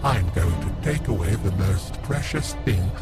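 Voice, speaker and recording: An actor playing a cold, vengeful undead villain in an audio drama, speaking in a deliberate, ominous manner. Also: male voice, sits low, animated. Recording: loud music under the voice.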